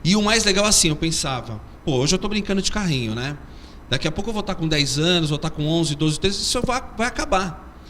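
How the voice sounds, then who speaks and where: A man's voice: a little high, brisk, slight background noise. A man from São Paulo with a Paulistano accent, a comedian telling stories about his childhood in an interview. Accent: Paulistano Brazilian Portuguese. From São Paulo, Brazil. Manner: animated storytelling. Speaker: comedian guest. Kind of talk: interview chat.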